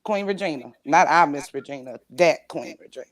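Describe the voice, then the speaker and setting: A woman's voice: low voice, brisk. A woman in her thirties chatting casually on a livestream, with sassy, playful, slangy banter. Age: 30s. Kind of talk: casual gossipy chat.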